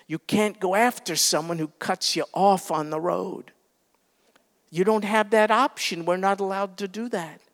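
Man, pastor, earnest sermon, exhorting delivery, high voice, reverberant hall. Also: steady tone, a little slow, fairly bright tone. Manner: earnest, exhorting, rising emphasis.

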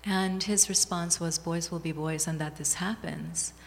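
A woman author from Bangladesh answers interview questions in a measured, earnest, reflective way. Her voice sits on the low side for a woman. A long reverb trails her words around the hall. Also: fairly even intonation, a little hiss or hum. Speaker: author and guest. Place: small community gathering room.

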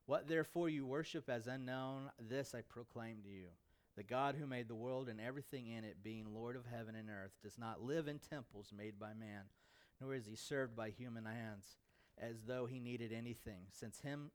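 An American man, a pastor, speaking informally to his church about a mission trip in an animated, conversational storytelling way. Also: medium pitch, clear, resonant tone.

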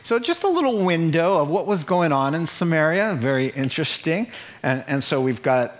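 A middle-aged man preaching a sermon in an animated way, acting out the people he quotes and laughing at his own jokes. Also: a little high, moderate pace. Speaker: pastor.

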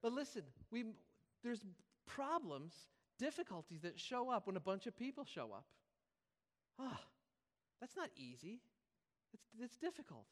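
A man's voice: very high voice, big pitch swings, unhurried, a bit bright. A man preaching a conversational, informal sermon as a pastor, repeating key phrases for emphasis. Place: large reverberant room, preaching live to congregation. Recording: hall reverb, live preaching.

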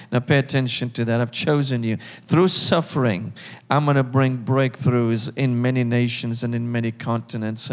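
A middle-aged man tells his faith story in a church, and his voice carries a long hall reverb. His voice is medium in pitch. He speaks earnestly and presses into fervent emphasis. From Syria. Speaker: ministry leader and guest speaker. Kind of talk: testimony in a church. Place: church sanctuary.

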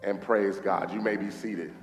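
Hoarse man, pastor, fervent sermon delivery, long reverb, faint guitar underneath. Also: on the low side, fairly even intonation, unhurried. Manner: fervent preaching, prayer in a hushed voice. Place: church hall. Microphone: stage microphone. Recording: echoey hall with faint guitar music.

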